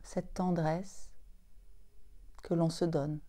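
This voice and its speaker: A woman guides a meditation as a yoga teacher. Her voice is mellow and slightly low, and she speaks very slowly. Short, soothing phrases are set apart by long pauses. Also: flat delivery.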